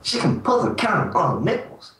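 Man acting crude European businessman, pushy, blunt, broken English, higher-pitched, roomy noisy prank call. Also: wildly swooping pitch, fast. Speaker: prank caller.

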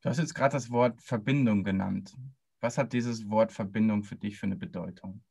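A man's voice: on the low side, normal speed, creaky, a little mellow, breathy edge. A man hosting an interview podcast, welcoming his guest and asking short questions. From Münster area, Germany. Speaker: podcast host.

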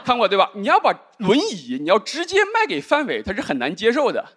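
High, lively-voiced man doing stand-up. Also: fast talker, a little hiss or hum. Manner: dry, deadpan delivery with rhetorical asides. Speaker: stand-up comedian. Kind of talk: stand-up comedy set. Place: large indoor studio stage with live audience. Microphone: handheld microphone. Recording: live audience laughter.

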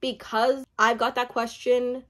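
A young woman, born in Uganda, telling a personal story in a casual, animated way, laughing often and acting out what others said. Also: fairly high pitch, unhurried. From born in Uganda, raised mostly in the US. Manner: animated, laughs often, acts out quoted speech. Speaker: vlogger.

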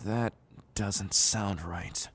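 A man's voice: lowish voice, steady tone, drawn-out words, breathy edge, full character voice. A man playing a proud, guarded young outlaw in an audio drama, speaking in a curt, blunt manner. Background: loud music.

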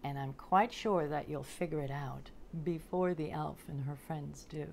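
A woman in her forties presenting and reading to children in a deliberate, expressive, carefully enunciated way. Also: sits low, vocal fry, slight background noise. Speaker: storytelling presenter. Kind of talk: scripted narration for children, picture-book reading. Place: indoors in front of a curtain backdrop.

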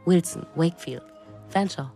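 A woman's voice: fairly low pitch, normal speed, creaky, warm tone, soft background music. An actress playing a curious, teasing woman who probes a writer friend in a German radio play. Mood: playful probing.

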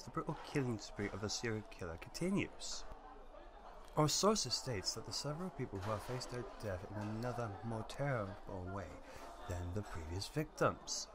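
An actor playing a grave, urgent television news anchor, announcing formally in an audio drama. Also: male voice, mid-range voice, natural voice. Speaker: guest voice actor. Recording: noisy broadcast with clear background noise.

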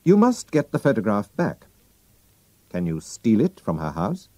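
A man voicing a calm, clever male detective who talks briskly as he reasons. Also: lowish voice, dry recording, voice pushed up, exaggerated voice. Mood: cool, knowing. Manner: brisk, deducing, lively.

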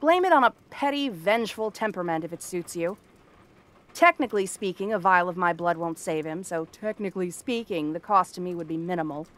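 A woman's voice: on the low side, a little fast, natural voice. A woman playing a cranky exiled princess turned frog girl in a fantasy ASMR roleplay, haughty, sarcastic and theatrical. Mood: irritated, dismissive. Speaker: voice actress.

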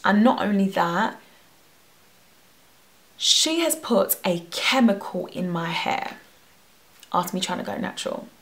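Young woman, gravelly voice, animated storytime vlog, talking to camera at home. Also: medium pitch. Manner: animated, expressive, gesturing a lot. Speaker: hair vlogger. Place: bedroom at home. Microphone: camera at face distance.

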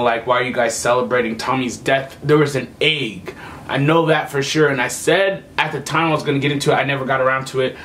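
A man's voice: mid-range voice, fairly bright tone, slight background noise. A young man giving casual reaction commentary as a video host, emphatic and repeating his phrases. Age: young adult. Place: small home room with computer setup.

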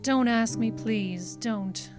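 Deceitful femme fatale, slow, highish voice, weary, imploring, radio drama, music behind. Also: female voice. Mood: weary, pleading. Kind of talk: old-time radio drama acting.

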